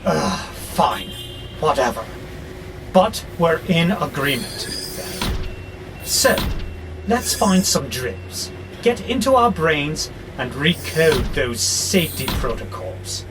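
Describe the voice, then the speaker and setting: An exasperated rebel freight robot acted by a man, grumbling with theatrical sarcasm in a highish male voice. The delivery is slowish and swings widely in pitch. A clear background hum runs behind this audio drama performance. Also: heavily acted. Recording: clear steady background noise, vehicle-like hum.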